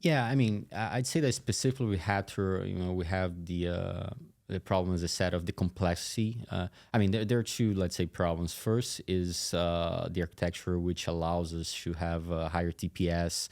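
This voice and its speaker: A man in his thirties giving casual interview answers as a tech executive, halting and thinking aloud as he goes. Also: low-pitched, steady tone, creaky, a little mellow. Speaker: tech company chief executive and co-founder, podcast guest.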